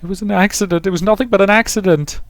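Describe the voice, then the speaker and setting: A man's voice: high-pitched, fairly even intonation, fast talker, dry recording, pitched above the performer's own voice. A man voicing a frightened, pleading young girl in a visual novel, stammering and distressed. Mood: panicked, pleading.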